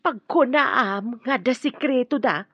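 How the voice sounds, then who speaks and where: A woman's voice: fairly high pitch, expressive, slow pace, breathy edge, no echo, full character voice. A woman playing a scheming household madam in a radio drama, speaking in a plaintive, melodramatic way with an Ilocano accent. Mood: concerned, scheming. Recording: dull, dry lo-fi studio sound.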